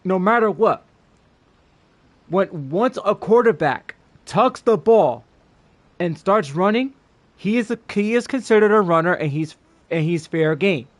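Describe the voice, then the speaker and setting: A man's voice: high voice, lively intonation, unhurried, pauses often. A man streaming a game and giving a casual, blunt, sweary rant with emphatic opinions. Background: game music.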